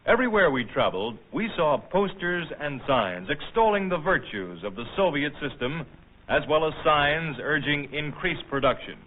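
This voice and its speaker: An American man reading formal, measured, scripted narration in the manner of a 1950s documentary newsreel. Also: a little high, slight background noise. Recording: tinny band-limited old film soundtrack.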